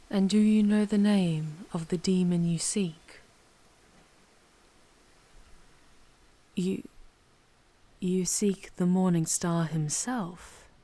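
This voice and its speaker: A woman voicing a seductive, proud, ancient female demon in an ASMR audio roleplay, speaking formally and deliberately with a teasing, commanding charm. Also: lowish voice, mellow, no echo. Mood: amused, incredulous.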